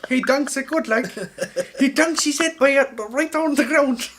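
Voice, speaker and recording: A man's voice: extremely high pitch, normal speed, a bit bright, slight background noise. An Irish man co-hosting a podcast, telling film stories in a casual, informal and sweary way. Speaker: podcast co-host. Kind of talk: casual film chat, animated storytelling.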